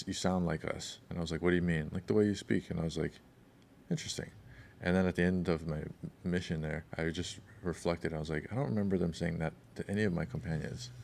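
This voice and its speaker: A man with a very deep voice and a gravelly texture co-hosts a casual podcast. He tells long, wandering stories in a relaxed way and sometimes drifts off his point before finding it again. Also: slight background noise. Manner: rambling, storytelling, loses his thread. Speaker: podcast co-host.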